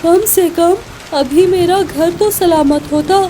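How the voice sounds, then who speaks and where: Woman as a plaintive, high-pitched little forest bird. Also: slow pace, heavy background noise. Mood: regretful, plaintive. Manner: lamenting, plaintive. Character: regretful, gullible cartoon forest bird, shivering and helpless. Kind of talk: cartoon story character voice.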